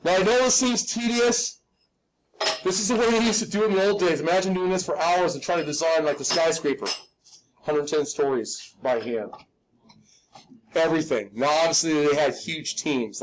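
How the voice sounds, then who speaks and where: High-voiced, slightly raspy male drafting teacher. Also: low-quality recording. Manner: instructional, checks in often with "okay?"; informal, folksy, self-deprecating. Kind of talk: live step-by-step hand drawing demonstration.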